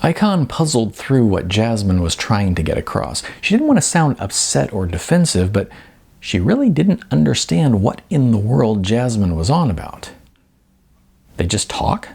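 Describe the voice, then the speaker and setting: A man's voice: medium pitch, very animated, normal speed, gravelly, a little mellow, slightly rough. A man reading scripted story narration as an audiobook narrator.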